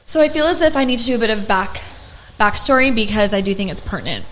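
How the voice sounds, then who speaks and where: A young adult woman with a raspy voice, hosting a podcast and telling stories in casual chat full of fillers and restarts. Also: fairly high pitch, lively intonation, fast, fairly bright tone, a little hiss or hum, poor audio. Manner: chatty storyteller, many fillers and restarts. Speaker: podcast host.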